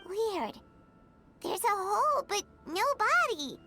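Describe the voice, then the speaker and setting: Squeaky, very high childlike companion. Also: female voice, big pitch swings, slow pace, pushed and bright, faint music behind, heavily acted. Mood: puzzled. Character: tiny childlike female companion, chirpy, loyal, earnest. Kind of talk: video game dialogue.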